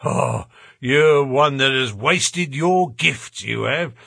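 Gruff male porter, acted by a male narrator, burly, blunt, dutiful, working-class speech, forceful delivery, audiobook character. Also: mid-range voice, slow pace, no room sound, studio quality, heavily acted. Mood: amazed, hearty. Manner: rough working-class grammar, blunt and loud. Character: gruff, burly, distrustful prize-fighter porter, stubbornly dutiful.

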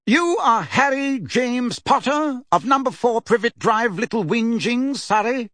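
A man's voice: extremely high pitch, lively intonation, measured pace, a bit bright, dry recording, clean studio sound, voice pushed up, exaggerated voice. A man reading aloud, voicing a pompous middle-aged male official who talks in a testy, fussy way. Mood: accusing.

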